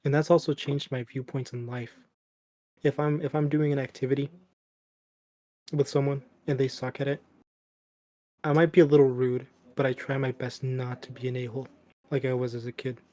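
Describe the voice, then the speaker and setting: A young man co-hosting a casual podcast, talking in a flat, deadpan monotone. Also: medium pitch, normal speed, pauses often, dry recording. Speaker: podcast co-host. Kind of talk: casual chat.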